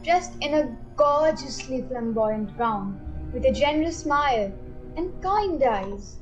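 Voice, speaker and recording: A woman narrates a radio play in a high voice. It is scripted storytelling, with faint music underneath. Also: animated, takes it slowly, poor audio.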